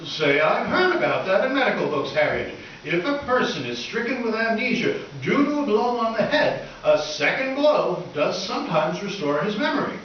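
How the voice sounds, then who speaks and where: An actor playing an earnest, mild, bookish schoolteacher in a live radio comedy. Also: male voice, high voice, sing-song, normal speed, few pauses. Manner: earnest, gentlemanly, evasive. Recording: very echoey hall, distant, dull, hissy.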